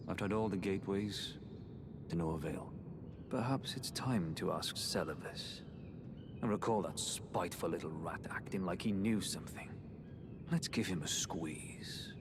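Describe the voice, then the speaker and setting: Wolfish sworn swordsman: low, gruff, blunt game voice, clear background noise. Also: moderate pace. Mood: frustrated, menacing. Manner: blunt, plain-spoken, matey. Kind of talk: video game character dialogue.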